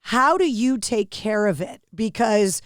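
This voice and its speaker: A woman hosts a podcast interview with a raspy, fairly high voice. She is chatty and self-deprecating, and she is recorded close and dry, with no room sound. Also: expressive, a little slow, dry recording. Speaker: podcast host. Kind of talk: casual interview chat.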